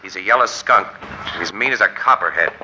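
An actor playing a wry, streetwise crime photographer with an American accent, speaking in terse hard-boiled slang in an old radio drama. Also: male voice, sits low, a little fast, lightly acted. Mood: scornful. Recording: dull, faintly noisy 1940s radio recording.

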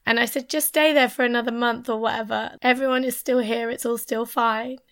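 A hoarse woman with a sore throat, talking over a video call, her voice gravelly with fry and pitched a little high. She chats in a relaxed, casual way and often sinks to a near whisper. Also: a little fast. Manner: chatty, drops to a near whisper at serious points.

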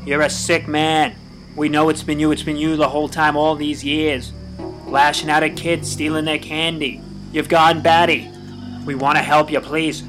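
A man's voice: a little high, flat delivery, moderate pace, music in the background, lightly acted, low-quality recording. A man playing a stern police chief in an audio drama, commanding yet earnest and pleading. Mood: pleading, firm.

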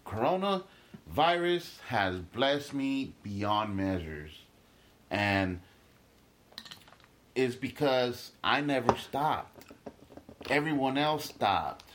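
A man's voice: mid-range voice, big pitch swings, drawn-out words, pauses often, a bit bright, slight background noise. A young man from Guatemala, a stand-up comedian talking emphatically as an interview guest, swearing freely and restarting his sentences. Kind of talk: casual podcast interview.

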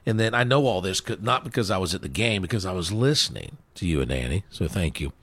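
Guy with some gravel in his voice, chatting sports, folksy and rambly, dry close recording. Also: low voice, lively intonation, quick pace, fairly bright tone, no room sound. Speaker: sports show co-host. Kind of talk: casual sports chat.

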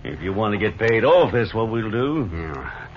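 An actor playing a callous, crude escaped-convict outlaw in a 1950s radio western. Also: male voice, fairly low pitch, big pitch swings, moderate pace. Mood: gloating, impatient. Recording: dull 1950s radio recording, faint noise.

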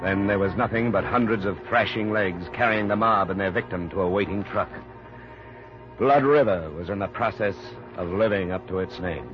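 An actor playing a tough, sober private investigator, terse and matter-of-fact, in a 1950s radio drama. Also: male voice, sits low, moderate pace, lightly acted. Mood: grim. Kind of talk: 1950s radio drama, first-person hardboiled narration and dialogue. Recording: muffled vintage radio recording with music.

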